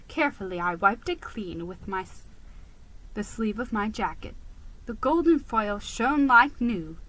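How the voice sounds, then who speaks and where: A woman giving an expressive, theatrical reading of a children's story. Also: mid-range voice, measured pace, low-quality recording. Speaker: storybook reader.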